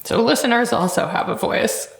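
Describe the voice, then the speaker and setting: Creaky-voiced woman interviewer, roomy echo. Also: fairly high pitch. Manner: measured, articulate, academic. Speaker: interviewer, host. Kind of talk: considered interview questions and commentary. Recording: roomy echoing sound.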